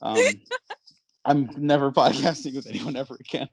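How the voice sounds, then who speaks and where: A man's voice: mid-range voice, normal speed, very noisy. A man hosting a podcast in casual, bantering chat, keeping things moving along. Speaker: podcast host.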